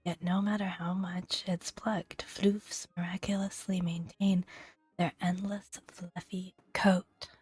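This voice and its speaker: A woman speaking softly in a breathy near-whisper, gently and unhurriedly, in an ASMR style with pauses between phrases as she reads game entries aloud. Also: fairly low pitch, level tone, no echo, soft background music. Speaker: gaming video host. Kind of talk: soft-spoken ASMR, reading game entries aloud with casual asides.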